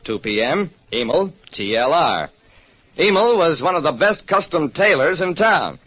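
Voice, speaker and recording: A man's voice: on the high side, sing-song, a little slow, a bit bright, full character voice. A man playing a shrewd, wry hardboiled private detective narrating an old radio mystery. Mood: wry, probing. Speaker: narrator and lead actor. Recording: muffled old radio recording.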